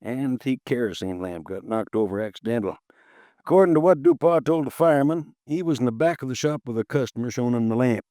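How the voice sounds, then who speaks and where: A man's voice: mid-range voice, animated, quick pace, mellow, dry recording, clean studio sound, natural voice. An actor playing a stocky, red-faced insurance agent in his late fifties, chatty, folksy and easily riled, in a 1950s radio drama. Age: 50s. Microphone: close studio mic, dry.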